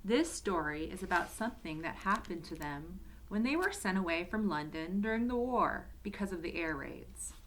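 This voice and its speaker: Lady reading a kids book out loud, medium pitch voice, expressive, storyteller talking to little ones. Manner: expressive, addresses young listeners directly. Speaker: storyteller reading to church children. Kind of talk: children's storybook read-aloud.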